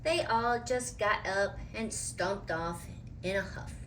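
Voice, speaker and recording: A woman's voice: mid-range voice, slow, fairly bright tone, slight background noise, rough recording. A woman reading a children's picture book aloud as a storyteller, expressively and with dramatic emphasis.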